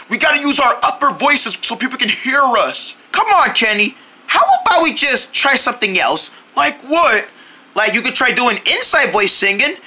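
A woman voicing a cast of paper goat puppets in a homemade outdoor show, with shouting and fake crying. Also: sits high, slightly rough. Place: outdoors on grass at night.

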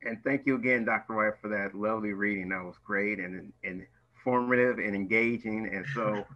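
Low-voiced male moderator, muffled audio. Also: steady tone, brisk, bright, forceful tone. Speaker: event moderator. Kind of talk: informal relaying of audience questions. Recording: dull, muffled video call audio.

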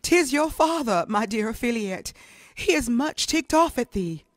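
Woman playing a lively stagey Elizabethan mother. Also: on the high side, a little slow, no echo, clean studio sound. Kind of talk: comedy audio drama acting.

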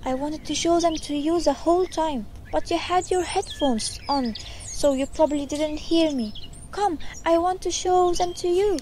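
Birdsong and noticeable background noise surround a high young voice. It is a woman playing an eager, tender-hearted little girl in a children's audio story, speaking earnestly and full of questions. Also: normal speed, mellow, lightly acted. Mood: excited.

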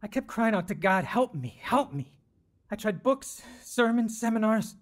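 An actor playing a dying man in his thirties in a radio drama, halting and burdened with guilt as he confesses. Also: sits high, lively intonation. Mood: anguished. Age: 30s.

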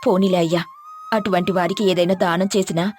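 A woman's voice: fairly low pitch, flat delivery, fast talker, darkish tone, faint music behind, lightly acted. A woman acting kindly village mother. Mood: gentle, questioning. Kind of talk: animated moral story dialogue.

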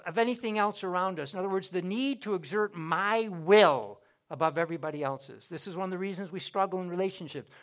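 Guy preaching a sunday sermon, fairly high voice, gets loud then drops way down. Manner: emphatic preaching, rising and falling in volume, repeats words. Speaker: pastor. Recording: audio of a church sermon.

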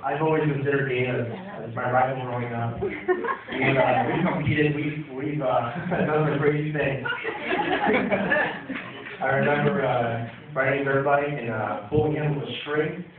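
A young man giving a best man toast at a wedding reception, halting with many fillers and restarts, speaking into a handheld mic in a dim hall. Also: medium pitch, fairly even intonation. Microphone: handheld mic, filmed by a far phone. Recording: tinny phone video from far off, echoey room. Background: crowd of guests.

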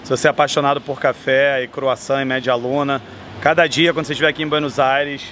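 A man's voice: mid-range voice, little pitch movement, fast, fairly bright tone. Chatty Brazilian man vlogging in the street. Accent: Brazilian Portuguese. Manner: enthusiastic, chatty, lists things off. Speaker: travel vlogger. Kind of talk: casual travel vlog. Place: city sidewalk and café terrace outdoors. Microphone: handheld camera at arm's length. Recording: street noise behind.

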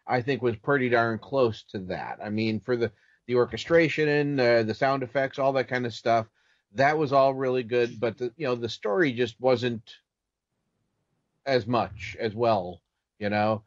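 A man of medium pitch chats casually as a panelist reviewing a show. The recording is a little dull and lacks crispness. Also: normal speed, no room sound. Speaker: podcast panelist.

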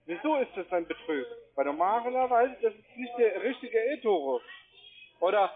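A non-native man with a foreign accent doing persuasive sales talk over the phone, insistent, repetitive and halting in his phrasing. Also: sits high, animated. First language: not German. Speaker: call center agent selling trading courses. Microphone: telephone. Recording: tinny, band-limited phone line.

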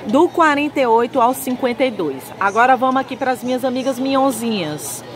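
A woman's voice: sits high, fairly quick, soft background music, a faint crowd. A woman with a Northeastern Brazilian accent presenting a shopping vlog in an enthusiastic, chatty sales style, addressing viewers as friends. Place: indoor market lingerie stall.

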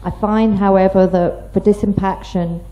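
A woman explaining treatment as a doctor on a medical panel, in a measured way. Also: medium pitch, fairly even intonation, warm tone. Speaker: paediatrician panelist. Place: conference hall, seated at panel table. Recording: dull conference microphone recording.

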